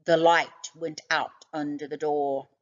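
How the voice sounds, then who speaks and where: A woman reading a children's book aloud as an expressive storyteller, with dramatic, deliberate phrasing. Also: deep voice, dull audio.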